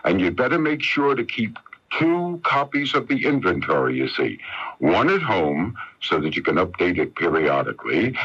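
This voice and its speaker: A man with a husky voice reading a scripted radio consumer tip as an announcer. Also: on the low side, a bit bright. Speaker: public service announcer. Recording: dull 1980s radio broadcast.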